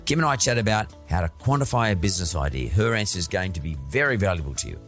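A man's voice: low-pitched, sing-song, fast talker, a bit bright, soft background music. A man hosting an interview podcast. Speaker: podcast host.

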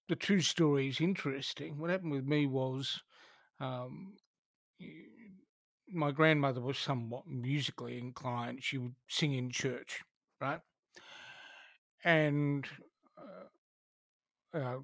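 A man in casual conversation, thoughtful and halting, often restarting his sentences. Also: medium pitch, dry recording.

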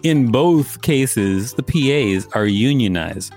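A man's voice: medium pitch, lively intonation, slow pace, a little mellow, faint music behind. A man co-hosting a podcast, reading an article aloud with dry, joking asides. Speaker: podcast co-host. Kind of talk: reading an encyclopedia article aloud with casual banter.

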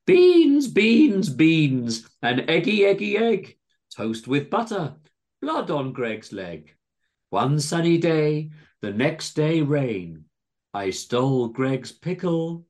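A man voicing a gleeful, creepy thief in a comic story, tittering and chanting rhymes in drawn-out words. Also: fairly high pitch, sing-song, darkish tone, voice pushed up, full character voice. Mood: manic chanting. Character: gleeful, creepy sing-song pickle-hoarding thief.

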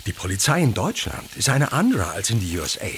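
A man's voice: fairly low pitch, sing-song, fast talker. A worried middle-aged dad lecturing his kid, audio drama, voice moves up and down a lot, food sizzling behind. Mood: reproachful. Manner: admonishing, switches briefly into English. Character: worried, pragmatic middle-aged father advising his grown daughter. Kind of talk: audio drama acting, family argument. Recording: loud sizzling kitchen noise behind.

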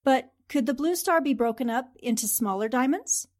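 Female actor as confident lady columnist for 1940s radio drama; fairly high voice, composed, articulate, courteous. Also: expressive, moderate pace, a little mellow. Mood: businesslike. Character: poised, tactful, confident female newspaper columnist.

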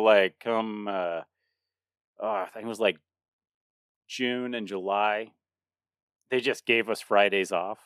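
A man's voice: fairly low pitch, fairly bright tone, no echo, studio quality. A man in his late thirties co-hosting a podcast in a casual chat, rambling with frequent restarts and laughter. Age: 30s. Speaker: podcast co-host.

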